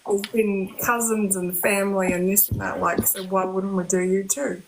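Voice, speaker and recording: An English woman with a croaky, rough-edged voice talks casually in a live video chat. She rambles a little, filling gaps with "like" and "you know". Her audio carries clear background noise. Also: medium pitch, level tone, moderate pace. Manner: chatty, peppers speech with "like" and "you know". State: croaky hoarse voice. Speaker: medium.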